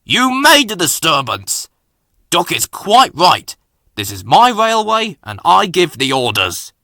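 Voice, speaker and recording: A man's voice: wildly swooping pitch, unhurried, sharp tone, no room sound, clean studio sound, full character voice. A man voicing a commanding storybook railway controller, authoritative and blustery but kindly. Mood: stern, thundering. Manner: booming, authoritative.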